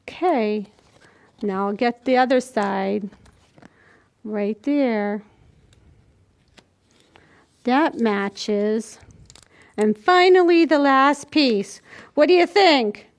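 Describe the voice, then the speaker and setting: An older woman with a very high voice leads a storytime for small children. She speaks slowly and in simple steps, demonstrating as she goes. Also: lively intonation. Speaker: children's library storytime host. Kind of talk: instructional talk to young children. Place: indoors, small plain room. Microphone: camera a few feet away.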